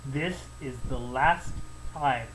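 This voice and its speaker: A man's voice: medium pitch, slow, fairly bright tone, pitched below the performer's own voice, rough recording. A man playing a proud, hot-tempered storybook king who declaims with emphasis. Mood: grim warning. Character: proud, hot-tempered king out hunting, threatening then remorseful. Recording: noticeable background noise.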